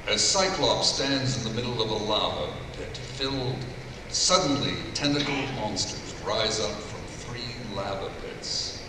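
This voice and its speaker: A man with a fairly low voice narrates stage directions at a live script read. He sounds distant in a noisy, echoing hall. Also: unhurried. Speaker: voice actor reading stage directions. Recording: echoey noisy hall, far from mic.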